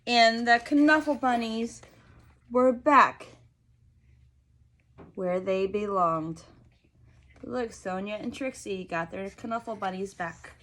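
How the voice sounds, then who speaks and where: A woman teacher reading a picture book aloud to young children, enunciating deliberately and prompting them with questions. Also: medium pitch, fairly bright tone, a little hiss or hum.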